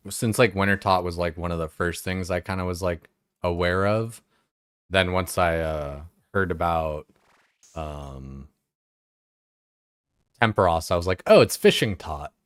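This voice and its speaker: A man's voice: sits low, steady tone, a little slow, long pauses, fairly bright tone, smooth, no room sound, clean studio sound. A young man streaming a game, chatting casually in a rambling way, full of fillers and swearing. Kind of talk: casual chat while playing.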